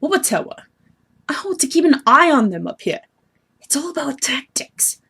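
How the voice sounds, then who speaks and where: A woman's voice: sits high, sing-song, moderate pace, creaky, a bit bright, full character voice. A woman voicing a haughty young male sea troll who schemes and sulks, pompous and petulant, in amateur webcomic acting. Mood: condescending. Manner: pompous, petulant, self-pitying.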